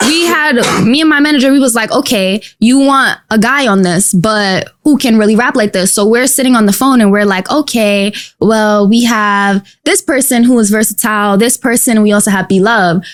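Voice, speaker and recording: Animated young woman from Queens. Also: fairly high pitch, moderate pace, few pauses. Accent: New York. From Queens, New York. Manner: animated, slangy, lots of likes and you-knows. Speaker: guest rapper. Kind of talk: casual podcast interview. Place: small dark podcast studio. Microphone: studio mic on boom arm.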